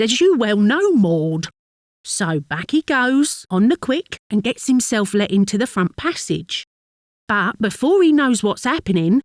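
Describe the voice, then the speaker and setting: Actress as chatty London housewife, working-class accent, confiding monologue, swooping intonation, close dry sound. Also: female voice, medium pitch, no room sound, natural voice. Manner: gossipy, colloquial, confiding. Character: chatty working-class London wife, fond, mildly exasperated gossip. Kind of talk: dramatic monologue, conversational storytelling.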